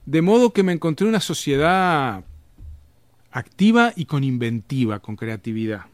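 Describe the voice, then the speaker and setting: A man's voice: fairly high pitch, big pitch swings, normal speed, no room sound. A man with an Argentine Spanish accent, telling stories in casual conversation, rambling with frequent restarts and tag questions. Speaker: interviewed author.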